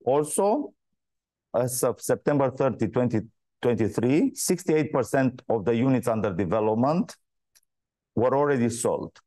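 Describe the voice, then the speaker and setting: A man delivers a scripted earnings presentation with a mellow, relaxed tone. His reading is formal and measured, and the sound is close and dry, at an average pitch. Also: no room sound. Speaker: company executive presenting financial results.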